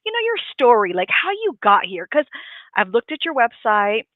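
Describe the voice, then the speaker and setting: A woman's voice: fairly high pitch, big pitch swings, moderate pace, dry recording. A woman hosts a live online interview, talking in an effusive, gushing way and piling on fillers as she goes. Her voice comes through a thin, phone-like line that sounds dry, with no room around it. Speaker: interview host.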